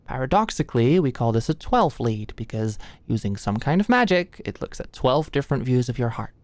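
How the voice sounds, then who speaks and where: A woman playing an anxious, sweet and awkward young female medical student in a soft, intimate ASMR roleplay, with a hesitant manner. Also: extremely low pitch, big pitch swings, fairly quick, no echo. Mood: earnest, explaining. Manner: nervous, hesitant, stammering.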